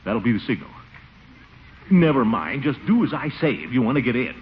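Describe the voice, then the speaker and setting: An actor playing a pompous, easily flustered, suspicious middle-aged city commissioner in a 1940s radio comedy, exclaiming and blustering. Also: male voice, mid-range voice, sing-song, brisk, close to an everyday voice. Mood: stern, anxious. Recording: muffled, hissy 1940s radio recording.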